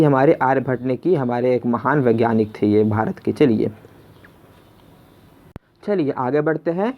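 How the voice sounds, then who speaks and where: A man presenting a spoken exam quiz lesson, conversationally repeating each question before giving the answer. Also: a little high. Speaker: quiz teacher and video host. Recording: dull lo-fi voice recording.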